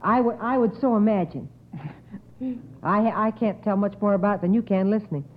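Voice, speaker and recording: A woman hosting an old-time radio quiz in a formal, measured, encouraging way with precise wording. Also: medium pitch. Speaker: quiz show host. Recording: tinny, narrow old radio recording.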